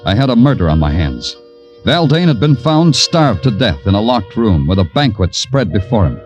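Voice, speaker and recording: Hardboiled American detective for vintage radio drama: low, sardonic, expressive inflection, music underneath. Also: male voice, moderate pace. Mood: wry narration. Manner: deadpan, sardonic. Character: weary, wry male big-city police detective narrating his case. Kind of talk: 1940s radio crime drama acting, hardboiled narration. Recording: old 1940s radio broadcast, music behind.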